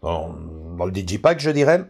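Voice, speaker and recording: Mellow-voiced man, halting chat. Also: lowish voice, big pitch swings, vocal fry, husky. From Belgium. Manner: hesitant, many fillers and restarts. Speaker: host. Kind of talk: casual chat about film collecting. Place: living room, indoors.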